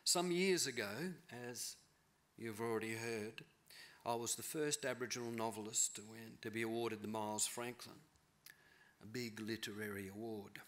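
Middle-aged guy giving a keynote, lowish bright voice, thinks aloud and rephrases. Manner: thoughtful, measured, rephrasing as he speaks. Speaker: keynote speaker, novelist. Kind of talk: lecture at a podium. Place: large auditorium stage. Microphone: podium mic.

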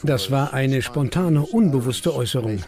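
An actor voicing a matter-of-fact police investigator in a documentary dub. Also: male voice, medium pitch, animated, normal speed, over music, close to an everyday voice.

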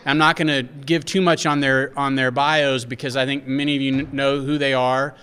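A man from West Texas introducing a conference panel from a podium, talking haltingly with many restarts. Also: medium pitch, level tone, a bit bright, smooth. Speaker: panel moderator. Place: hotel conference room. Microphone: podium mic. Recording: reverberant hall.

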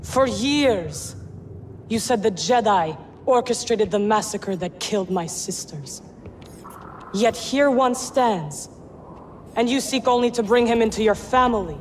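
A woman's voice: on the high side, lively intonation, measured pace. An actress playing a vengeful, solemn young witch in a video game, speaking formally and imperiously. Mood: cold, accusing. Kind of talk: dramatic video game acting. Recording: long reverb, loud ambient noise.

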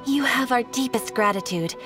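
An earnest female quest-giver at a guild desk in an anime game, played by a woman. Her voice sits a little high, with formal, polite, businesslike delivery. Music plays clearly behind her. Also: normal speed, natural voice. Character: courteous, earnest female adventurers' guild receptionist. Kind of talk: anime video game voice acting.

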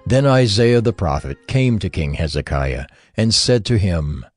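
Male narrator for dramatized scripture; low, animated voice over faint background music. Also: measured pace, dry recording.

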